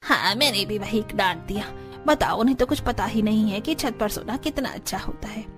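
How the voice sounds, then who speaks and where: A woman's voice: medium pitch, animated, fairly quick, over music, close to an everyday voice. A woman voicing an older, stubborn and traditional village mother-in-law in a cartoon, firm and insistent. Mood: self-satisfied.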